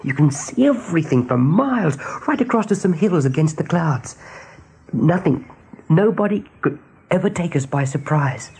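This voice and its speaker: A man playing a gentle, anxious young rabbit seer in a radio play, speaking with earnest wonder. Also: fairly high pitch, expressive, normal speed, breathy edge, heavily acted. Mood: dreamy wonder.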